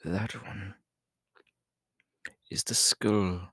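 Sly, menacing male villain acted by a man for ASMR roleplay: low-pitched, slow, teasing, breathy with whispers. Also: steady tone, sharp tone, no echo, clean studio sound, exaggerated voice. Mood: calm, sinister. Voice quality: breathy, often dropping into whispers. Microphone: close microphone, dry.

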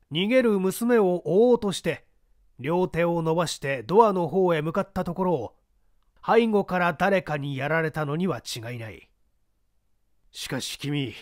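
A man's voice: sits high, no room sound, studio quality. A man doing a seasoned older investigator. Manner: measured, testing, explanatory.

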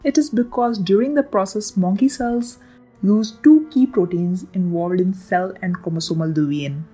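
A woman hosting a science channel, reading a scripted explainer in a lively, emphatic way. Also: medium pitch, moderate pace, slightly rough, soft background music, rough recording. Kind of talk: scripted educational narration. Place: voice-over with soft background music.

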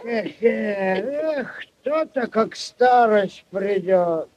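High-pitched tipsy old villager. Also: male voice, lively intonation, extremely slow, a little mellow, full character voice. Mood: indignant. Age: older. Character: tipsy, stubborn, rambling old village man. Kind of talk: 1940s Soviet radio acting. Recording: dull 1940s radio recording.

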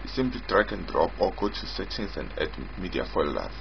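Halting low-voiced man demoing software. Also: big pitch swings, gravelly, noisy background. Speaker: software developer demonstrating own app. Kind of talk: informal screen-recorded software demo narration. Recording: dull lo-fi mic with prominent music.

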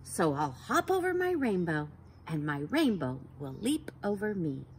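A woman in her forties reading a picture book aloud to young children outdoors, slow and sing-song, sounding out letters and gasping for effect. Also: on the low side, sing-song, a little hiss or hum. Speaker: storytime reader for children. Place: backyard patio outdoors by a wooden fence.

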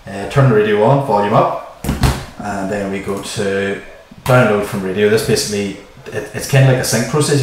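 A man in his forties talks through a tutorial in an informal, plain-spoken way. His voice is low-pitched. It is picked up a little way from the microphone in a small, very reverberant room. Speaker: host of a how-to video. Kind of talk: casual tutorial explanation. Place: small bare-walled room indoors. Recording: echoey small room, distant mic, faint noise.

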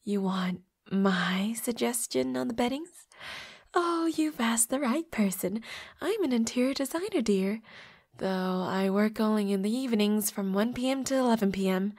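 A woman's voice: fairly high pitch, a little mellow. A woman voicing a flirty, playfully predatory vampire roommate in an intimate audio roleplay, with a coy, teasing manner. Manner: coy, giggly, teasing, drops into breathy whispers.

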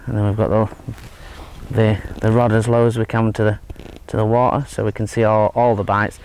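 Man, thirties, angling instructor, casual and halting, monotone delivery, lakeside outdoors. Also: fairly low pitch, rough recording. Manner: relaxed, hesitant, many fillers and restarts. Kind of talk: casual fishing tutorial. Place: outdoors at a lakeside fishing spot. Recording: faint outdoor background noise. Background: faint outdoor ambience.

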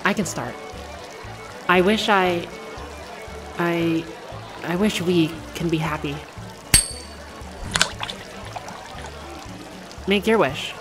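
A performer voicing a cheerful, affectionate girlfriend on a theme park date, speaking gently and unhurriedly in a one-sided ASMR roleplay. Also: mid-range voice, music in the background, close to an everyday voice. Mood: sweet, hopeful. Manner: gentle, unhurried, softly conversational.

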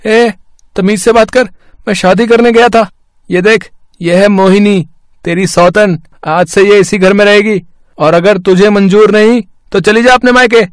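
A man's voice: high-pitched, fairly even intonation, unhurried, smooth, dry recording, studio quality. A man voicing a henpecked, scheming village husband in a cartoon, with a theatrical, complaining manner. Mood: defiant.

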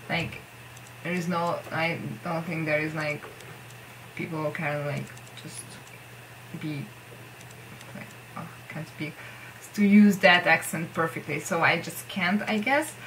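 A woman's voice: low voice, lively intonation, slow pace, long pauses, background noise. A young Hungarian woman with a Hungarian accent, speaking English as a second language and chatting casually to camera in her bedroom, rambling with many filler words. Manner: rambling, lots of filler likes, self-deprecating. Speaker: vlogger. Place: bedroom with posters.

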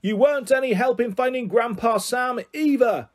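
A man's voice: high voice, fairly even intonation, normal speed, creaky, pitched above the performer's own voice. A man voicing an indignant eleven-year-old boy in a children's storybook, outspoken and argumentative. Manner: shouting, argumentative.

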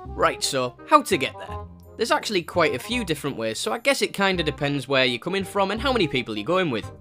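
A man's voice: on the high side, very animated, fast talker, sharp tone. A young man from northeast England with a northeast English accent, narrating a travel vlog voice-over with cheeky, jokey asides. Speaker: travel vlogger. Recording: voice-over with clear music bed.

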